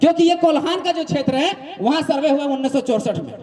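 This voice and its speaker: Very high-pitched Jharkhandi man making a speech. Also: expressive, fast talker, a little mellow. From Jharkhand, eastern India. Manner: emphatic, repeats points and poses rhetorical questions. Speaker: activist speaker. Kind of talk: political explainer speech to listeners.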